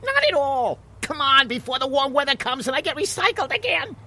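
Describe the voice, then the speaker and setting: A man's voice: very high voice, sing-song, brisk, bright, forceful tone, heavily acted. A man playing a restless, self-important little water molecule in a children's radio play, complaining and urging. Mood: bossy, eager. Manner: eager, complaining, urgent.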